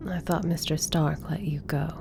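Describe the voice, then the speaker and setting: A woman playing an exacting scientist in a roleplay audio drama, with measured, precise, formal delivery. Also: deep voice, fairly even intonation, vocal fry, noisy background. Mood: irritated, stern. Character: brisk, exacting female scientist, easily irritated.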